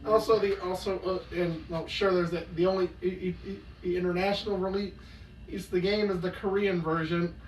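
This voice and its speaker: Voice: medium pitch, steady tone, fairly quick, reverberant, distant mic, slight background noise, rough recording. A co-host in a casual reaction commentary, talking bluntly and sarcastically with some swearing.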